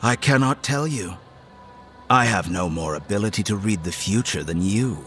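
An actor playing an enigmatic, disdainful supernatural man in a horror audio drama, speaking in formal, cryptic phrases with a hushed voice. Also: fairly low pitch, expressive, normal speed, soft background music. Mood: cryptic, controlled.

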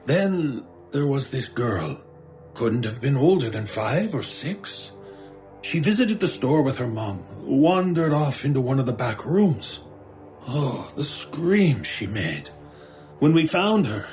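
An actor playing a frightened, haunted shopkeeper in a radio horror drama, halting and stammering in distress. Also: male voice, fairly high pitch, very animated, faint music behind. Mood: troubled, haunted. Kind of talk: old-time radio horror drama acting.

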